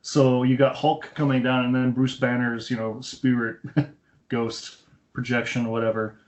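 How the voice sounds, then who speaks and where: A man's voice: medium pitch, little pitch movement, measured pace, a little mellow. A man talks casually about film as a podcast co-host, with a mid-range voice. He explains as he goes, slipping into retold dialogue. The sound is dull and lo-fi, recorded over an internet call. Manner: explanatory, retells scenes with quoted dialogue. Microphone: Skype call.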